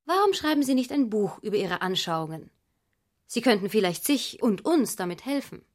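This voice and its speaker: A woman's voice: medium pitch, very animated, moderate pace, no echo, clean studio sound. A woman reading literary radio drama in a composed manner with careful diction. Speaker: narrator.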